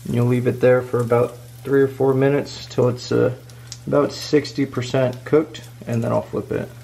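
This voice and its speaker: A man's voice: medium pitch, level tone, moderate pace, vocal fry, dark tone. A man in his thirties, chatty and upbeat, talking through a cooking vlog in his home kitchen. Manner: upbeat, chatty, explains each step. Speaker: cooking vlogger. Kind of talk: casual cooking vlog to camera.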